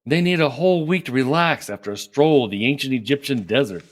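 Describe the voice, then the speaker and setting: A man's voice: mid-range voice, expressive, fast talker, close to an everyday voice. An actor playing Michael, a curt, dismissive workaholic man who runs a time-travel heist crew, in an audio drama. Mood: scornful.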